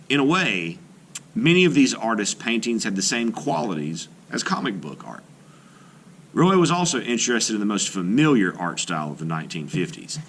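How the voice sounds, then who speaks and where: A man reading a children's book aloud as an art teacher, in an expressive storytelling style with deliberate pauses. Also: lowish voice, a little hiss or hum. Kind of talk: scripted narration, children's read-aloud.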